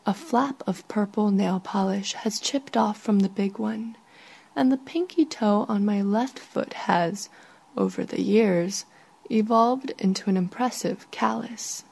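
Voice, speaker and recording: A woman reading her own literary short story in a breathy voice that often sinks toward a whisper. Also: medium pitch, a little mellow. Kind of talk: literary first-person story narration.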